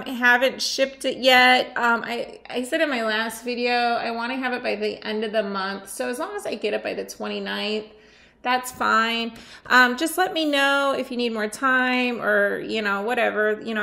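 A middle-aged woman chatting casually as she unboxes a swap package at home, rambling and thinking out loud, her voice a little worn as she comes down with something. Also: a little high, gravelly. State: losing her voice, getting sick. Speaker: nail art swap host. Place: sunny room at home by a window.

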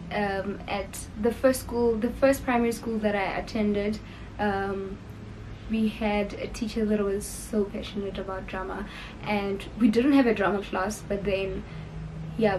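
A young South African woman talks in an unhurried, chatty way, gushing and sprinkling in ums. Her voice sits at a middling pitch, and a faint hiss stays low underneath. She is sitting in her bedroom facing the camera. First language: Sepedi. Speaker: YouTuber. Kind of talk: casual get-to-know-me Q&A. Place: bedroom, indoors. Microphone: camera in front, seated facing it.

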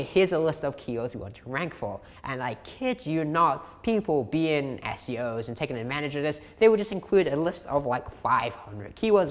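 A man's voice: a little high, long reverb tail. A young man teaching an online course in a chatty, emphatic way, repeating key points, his voice tiring from talking a long time. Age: young adult. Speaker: SEO course instructor. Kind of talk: casual tutorial explanation to camera. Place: indoor living room.

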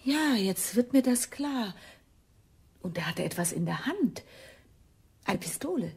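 A woman's voice: medium pitch, big pitch swings, unhurried, a little mellow, full character voice. An actress playing an uneasy female witness who is keen to leave, in a German radio crime drama. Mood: uneasy, hushed. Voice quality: breathy, husky.

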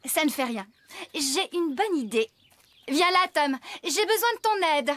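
High-voiced, kindly cartoon farmer. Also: female voice, sing-song, unhurried, a bit bright, heavily acted. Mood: decisive. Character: kind, practical female farmer. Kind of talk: children's cartoon dub acting. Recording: music bed behind.

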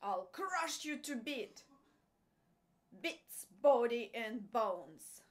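A woman voicing a big, fierce, threatening billy goat with a hoarse voice in a storybook tale. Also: fairly high pitch, sing-song, very slow, exaggerated voice. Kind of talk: storybook character voice.

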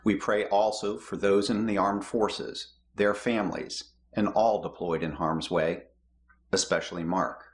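A middle-aged man reading the prayers of the people in a measured, steady way from his home office. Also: low voice. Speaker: lay prayer leader.

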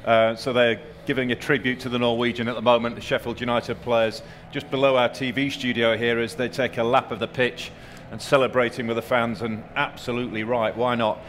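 A middle-aged male host leads the post-match show from a studio above the pitch, in a fairly average male register. He narrates what is happening around him and tosses questions to his pundits. A thin layer of stadium ambience sits under his voice. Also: level tone, a little fast, a bit bright, slight background noise. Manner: smooth presenter, poses questions and describes the scene. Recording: faint stadium ambience behind.

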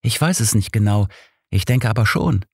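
Audiobook role: lively, friendly younger woman, outspoken and animated, pitched up by a male reader. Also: normal speed, husky, no echo, studio quality. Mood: earnest, concerned. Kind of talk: audiobook character voice.

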